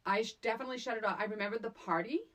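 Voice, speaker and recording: A woman's voice: on the high side, very fast, fairly bright tone. American woman, wry podcast co-host. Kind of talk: casual film discussion podcast.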